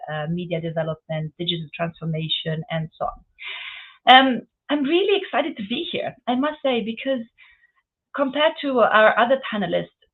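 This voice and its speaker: Woman, forties, gravelly voice, earnest, filler-heavy, tinny line. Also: mid-range voice. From Romania. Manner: earnest, fluent with many fillers. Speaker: panelist, UN office chief. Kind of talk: webinar panel talk. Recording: phone-like narrow line.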